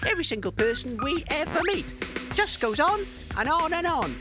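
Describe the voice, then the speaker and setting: Guy playing huffy british radio dj, high voice swooping everywhere, theatrical bickering, music behind. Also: very animated, normal speed. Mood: exasperated. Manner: theatrical, bickering rhymed delivery. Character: indignant, self-righteous male radio DJ on the run. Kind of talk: comic musical audio drama acting.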